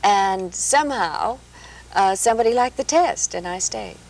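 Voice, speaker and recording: A woman's voice: medium pitch, lively intonation, a little slow, rough recording. A woman from Minnesota, a guest on a 1970s television interview show, telling stories about her past in a gracious, anecdotal way. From Minnesota, USA. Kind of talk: relaxed talk show interview. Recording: 1970s television broadcast, light hiss.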